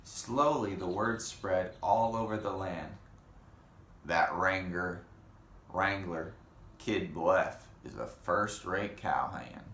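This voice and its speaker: A man reading a children's picture book aloud in an unhurried way, pausing for casual comments and questions. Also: sits low, a bit bright. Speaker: storybook reader. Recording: roomy echo with faint background noise.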